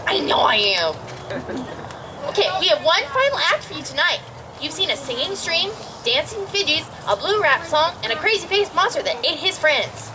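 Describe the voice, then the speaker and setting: A woman's voice: very high voice, very animated, moderate pace, background noise. A woman hosting a backyard puppet show, announcing the acts with showy build-up.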